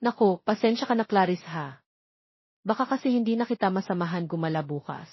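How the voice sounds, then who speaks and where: A woman's voice: medium pitch, expressive, brisk, husky, dry recording, highs cut off. A woman voicing a dutiful, timid young heroine in a romance story, polite and apologetic.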